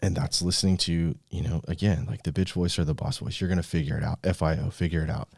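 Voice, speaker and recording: A man with a very deep voice hosts a motivational podcast. He speaks casually but with a coach's emphasis and doesn't shy from swearing. The sound is dry, with almost no room in it. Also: steady tone, fast, creaky, darkish tone, no echo. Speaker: podcast host and business coach.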